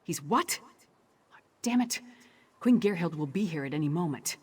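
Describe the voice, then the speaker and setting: Game warrior woman: low, raspy, blunt, familiar, battle-worn and bold. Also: expressive, normal speed, darkish tone. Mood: exasperated. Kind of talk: video game character dialogue.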